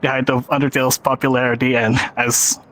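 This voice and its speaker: A man's voice: medium pitch, brisk, poor audio. A man with a Filipino accent, presenting at a convention panel with frequent laughs and fillers. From Philippines. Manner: giggly, relaxed, many fillers. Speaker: panel host, filmmaker.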